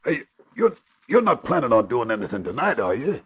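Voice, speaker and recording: Husky, nervous gangster sidekick. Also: male voice, mid-range voice, very animated, a little fast. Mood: worried. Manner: hesitant, slangy. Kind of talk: 1940s radio acting. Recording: muffled old radio recording.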